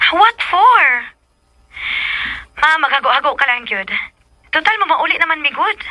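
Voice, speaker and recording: A woman's voice: high-pitched, lively intonation, a little slow, sharp tone, dry recording, exaggerated voice, poor audio. A woman playing a breezy, casual young adult daughter in a radio drama, calling her mother. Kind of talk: radio drama acting, code-switching into English.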